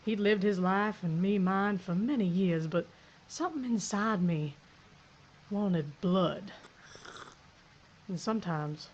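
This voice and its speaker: An actress narrating in the first person as a hard-bitten Old West sheriff, with a measured, reflective frontier drawl. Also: female voice, medium pitch, a little mellow, highs cut off, a little hiss or hum, close to an everyday voice. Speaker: narrator and protagonist.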